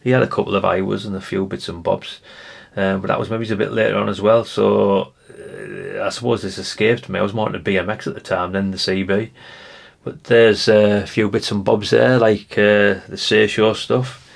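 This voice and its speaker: A man in his fifties with a lowish voice and plenty of gravelly creak. He chats casually and nostalgically as he browses, wandering from thought to thought as if thinking aloud for the viewer. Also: little pitch movement, moderate pace. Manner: rambling, chatty, musing aloud to the viewer. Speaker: retro collector presenting a video.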